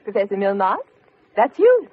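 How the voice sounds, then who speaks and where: A woman's voice: medium pitch, sing-song, moderate pace, natural voice. A woman playing a fluttery, genteel club chairwoman making formal announcements in a vintage radio comedy. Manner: theatrical, pleading, formal. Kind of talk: 1940s-50s radio comedy acting. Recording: muffled old radio recording, noisy audience.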